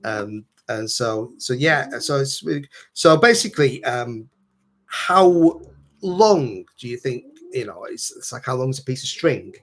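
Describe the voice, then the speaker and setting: A man's voice: mid-range voice, expressive, a little slow, a bit bright. A man hosting a live stream, asking questions with many fillers and repeated words. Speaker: host, guitar teacher. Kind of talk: casual live stream chat.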